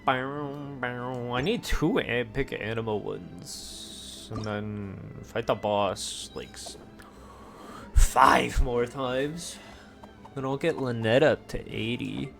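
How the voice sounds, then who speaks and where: Male game streamer, unhurried delivery, casual self-talk that slips between outbursts and mumbling, faint music behind. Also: mid-range voice, vocal fry, fairly bright tone. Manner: self-talking, drifts between exclamations and muttering. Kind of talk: casual gameplay commentary, muttering to self.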